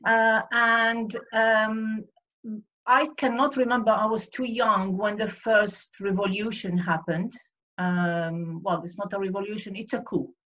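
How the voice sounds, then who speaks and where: A woman's voice: mid-range voice, fairly even intonation, measured pace, a bit bright. A woman in her sixties from Iraq, earnestly recalling her life as a guest on a video call, often searching for words. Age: 60s. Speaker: guest speaker. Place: home room over a video call. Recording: dull lo-fi video-call audio.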